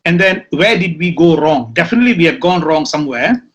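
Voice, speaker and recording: A man's voice: a little high, lively intonation, a little fast. A middle-aged man hosting a talk show interview, asking questions with many fillers and restarts. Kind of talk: casual interview.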